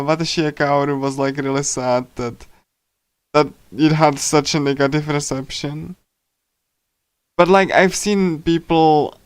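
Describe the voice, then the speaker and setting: A man livestreaming in a casual, rambling chat style, speaking haltingly with lots of filler words. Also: on the high side, clear, resonant tone, dry recording. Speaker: livestreamer reading a visual novel.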